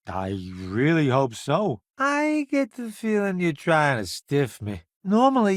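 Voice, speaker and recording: An actor playing a vain, chatty celebrity food columnist turned space crew sidekick in a video game, with a theatrical, smug, wisecracking delivery. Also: male voice, a little high, dry recording, clean studio sound. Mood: grudging, then wry, showy. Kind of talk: video game companion voice lines.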